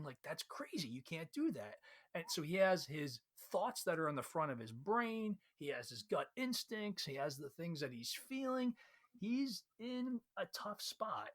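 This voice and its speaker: A man giving a casual, conversational recap of a story as a read-along host, retelling it in an animated way. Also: on the high side.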